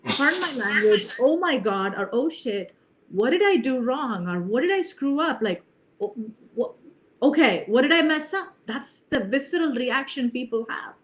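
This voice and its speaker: An earnest middle-aged woman coach from India talks on a video call, her voice a little higher than most. She tucks "you know" and "right?" into her reflective answers. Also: lively intonation, normal speed. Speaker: leadership coach, guest. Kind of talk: reflective interview talk.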